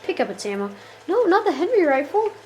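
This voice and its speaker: A woman's voice: extremely high pitch, sing-song, fairly quick, dark tone. A woman giving casual, jokey commentary while playing a shooter game, often exasperated and talking to the characters on screen. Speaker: gamer.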